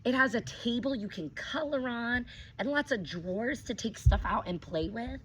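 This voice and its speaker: A woman teacher reading a picture book aloud to children, gently stopping to ask them questions. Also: on the high side, lively intonation, normal speed. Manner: gentle, asks children questions between pages. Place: outdoors with her dogs. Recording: dull lo-fi recording with clear background noise.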